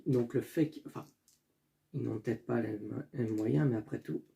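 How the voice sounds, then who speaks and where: A man's voice: medium pitch, normal speed, gravelly, mellow. A young man hosting a casual solo football video, thinking aloud and often stopping to correct himself. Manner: talks as he thinks, with restarts and self-corrections; stumbles over names and apologizes.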